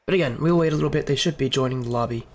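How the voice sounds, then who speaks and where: A man's voice: medium pitch, racing. A man with the flu casting an esports league stream, sniffling and stuffy-nosed as he fills time waiting for players. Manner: chatty filler talk while waiting. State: sick with a stuffy nose, sniffling. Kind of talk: solo stream commentary.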